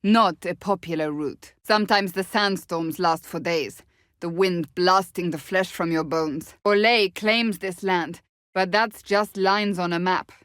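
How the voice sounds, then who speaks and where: A woman's voice: lowish voice, a little slow, fairly bright tone, no room sound, clean studio sound. An actress playing a stern, blunt, devout warrior woman in a fantasy video game, speaking in a formal, clipped, earnest way. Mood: dry, grave.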